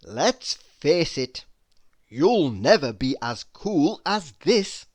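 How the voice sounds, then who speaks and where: A man reading humorous quotes aloud in a deliberate, mock-inspirational manner. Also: on the high side, very animated. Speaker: reader.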